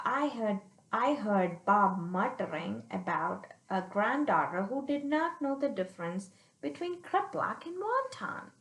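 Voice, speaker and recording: A woman reading a picture book aloud to children, pausing to explain it simply and check they follow, in a roomy recording. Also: fairly high pitch. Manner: animated, checks understanding with listeners.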